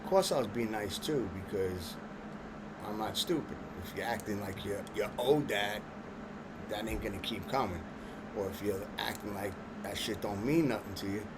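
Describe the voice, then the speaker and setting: Rambling Long Island man, low voice. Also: normal speed, noisy background. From Long Island, New York. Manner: streetwise slang, filler-heavy, restarts, laughs at his own jokes. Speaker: vlogger telling a personal story. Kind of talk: rambling casual storytelling.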